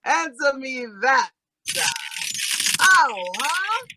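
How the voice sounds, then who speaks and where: An actor playing a sloppy, fawning drunk husband in a comic old-time radio play, with slurred, grandiose speech. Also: male voice, extremely high pitch, lively intonation, very slow, background noise, heavily acted. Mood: sloppy, elated.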